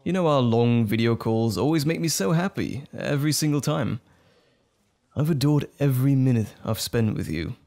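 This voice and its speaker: Man acting as nervous romantic date, lively intonation. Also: mid-range voice, normal speed, gravelly. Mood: tender, smitten.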